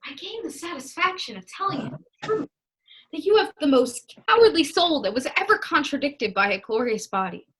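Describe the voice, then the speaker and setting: A woman's voice: sits high, expressive, normal speed, fairly bright tone, a little hiss or hum. A young woman acting an ardent, impatient lover in a stage melodrama, declaiming with passion. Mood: scornful, exasperated. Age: young adult. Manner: declamatory, impassioned. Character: ardent, impatient lover, eloquently scolding and pleading. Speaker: student actor. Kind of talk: stage acting in a one-act period melodrama.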